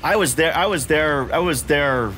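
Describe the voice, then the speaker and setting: A middle-aged man with an American accent chatting casually as he plays a video game, halting and repeating himself as he thinks. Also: fairly high pitch, expressive, pushed and bright, slight background noise. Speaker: host playing a video game with his son. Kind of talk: casual gameplay chat. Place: home gaming room, indoors.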